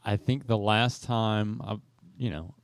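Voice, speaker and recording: A man with a fairly low voice banters as a podcast co-host, recorded dry and close. He jokes, swears freely and repeats his phrases for effect. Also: steady tone, unhurried, no room sound.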